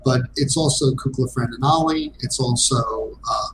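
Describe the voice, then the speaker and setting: A man giving a casual interview as a game designer guest, speaking hesitantly with frequent pauses. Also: medium pitch, noisy background.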